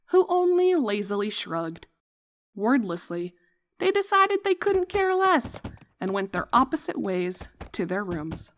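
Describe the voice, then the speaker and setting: A woman reading a fan fiction story as a scripted narration. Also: medium pitch.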